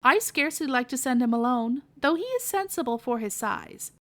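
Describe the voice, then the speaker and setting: A woman's voice: high voice, animated, moderate pace, a bit bright, no echo. A woman voicing a stout, practical elderly aunt pig with a bossy, fretful manner in a storybook. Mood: hesitant, fretful.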